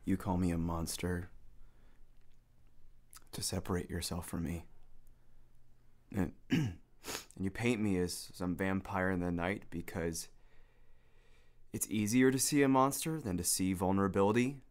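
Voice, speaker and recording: An American man acting in an audio drama as a nervous, cornered, defensive predator, halting and hesitant. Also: low-pitched, steady tone, darkish tone.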